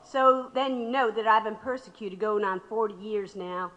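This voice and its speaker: A woman's voice: medium pitch, little pitch movement, normal speed, fairly bright tone, long reverb tail, muffled recording, natural voice. An actress playing a sardonic, unyielding older woman labor radical under questioning in an audio drama.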